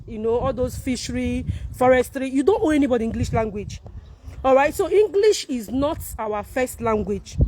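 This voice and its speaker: A woman's voice: high voice, noisy background. A Nigerian woman whose first language is Igbo, talking casually and emphatically to her viewers, repeating points and asking rhetorical questions. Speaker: content creator.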